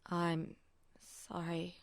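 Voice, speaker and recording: A woman plays a weary, apologetic older man in a storybook drama, his voice low and full of gravel. He speaks extremely slowly and haltingly, slurring his words in a dazed rasp that sinks toward a whisper. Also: completely flat delivery, no echo. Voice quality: rasping, slurred, breathy near-whisper. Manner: halting, trailing off, insistent.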